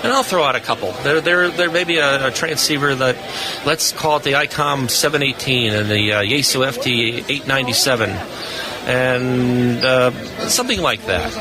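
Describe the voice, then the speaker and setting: A man's voice: mid-range voice, normal speed. A man speaking as an expert guest on a live show, explaining technical points casually and with enthusiasm. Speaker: radio lab expert guest. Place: busy hamfest exhibit hall, live booth. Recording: live from a crowded convention floor. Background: crowd noise.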